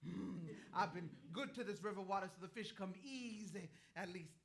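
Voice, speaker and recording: A woman's voice: medium pitch, normal speed, close to an everyday voice. A woman playing a sly, earthy, ageless river woman in a staged play reading, with a folksy, teasing, knowing way of talking. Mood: grumbling, wry. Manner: folksy, teasing, chuckling drawl of a wise elder.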